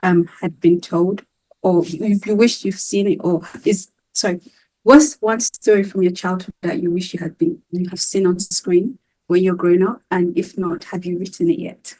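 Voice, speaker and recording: A woman's voice: fairly low pitch, steady tone, moderate pace, mellow, low-quality recording. A woman hosting a casual interview, effusive and encouraging, with many fillers and "like"s. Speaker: interview host.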